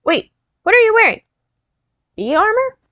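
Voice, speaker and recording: A skeptical, jittery child from a storybook , voiced by a woman reader, protests with drama in a high voice. The recording is dry and slightly muffled. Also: sing-song, normal speed, dry recording. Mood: defiant. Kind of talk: storybook character reading.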